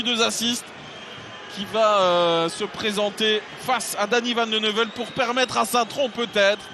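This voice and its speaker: A man giving live play-by-play football commentary, calm in analysis and shouting at goals. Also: high voice. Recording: loud stadium noise behind.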